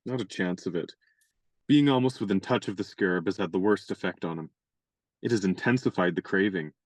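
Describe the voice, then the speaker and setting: An actor playing a chivalrous, genial young gentleman adventurer in a radio play, speaking in courtly, elaborate and playfully pompous phrases. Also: low-pitched, brisk, no room sound.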